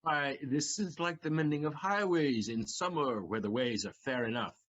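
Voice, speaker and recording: A man playing a brash, bawdy husband in a Shakespearean audio play, blustering with comic outrage in a rough, husky voice. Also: medium pitch, moderate pace, a bit bright, dull audio, close to an everyday voice. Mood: indignant.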